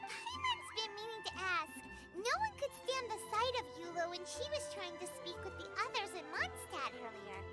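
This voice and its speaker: A woman's voice: huge pitch swings, moderate pace, few pauses, exaggerated voice. A woman voicing a tiny floating female companion who is chirpy and curious, in video game dialogue. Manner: high-pitched and bubbly. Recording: game dialogue over music.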